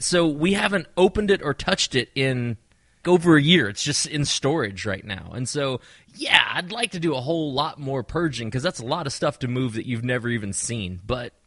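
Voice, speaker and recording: Bright-voiced chatty man. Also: fairly high pitch, no echo, clean studio sound. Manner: animated, rambling storyteller. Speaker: podcast co-host; performer. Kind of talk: casual chat.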